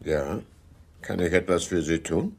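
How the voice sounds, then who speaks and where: An actor playing a guarded, courteous bookseller under suspicion in a radio crime drama, measured and evasive in his politeness. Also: low voice, gravelly, slightly rough, heavily acted. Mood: courteous, reserved.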